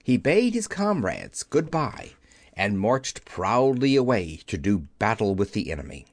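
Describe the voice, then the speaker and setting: A man's voice: fairly low pitch, big pitch swings, measured pace, no room sound, clean studio sound. A man narrating a children's audiobook, telling the story as a scripted reading.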